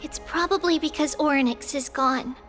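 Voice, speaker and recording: A woman voicing a small, wise, girlish oracle with a measured, earnest manner in a video game. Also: sits high, a bit bright, soft background music. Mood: solemn.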